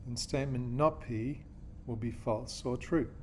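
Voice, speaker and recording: A man from Australia teaches a lesson in a patient, step-by-step way. His voice sits at a middle pitch and he keeps checking that his students follow. A faint background noise runs under the recording. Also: a little slow, a little mellow. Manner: patient, checks in with "okay?" and "yeah?". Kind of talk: classroom lesson explanation.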